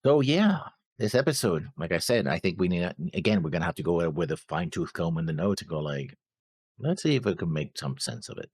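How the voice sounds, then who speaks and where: A man's voice: deep voice, sing-song, fairly quick, a little mellow, breathy edge, no echo, studio quality. A man co-hosting a reaction show in casual, laid-back talk, often chiming in briefly to agree. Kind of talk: casual discussion.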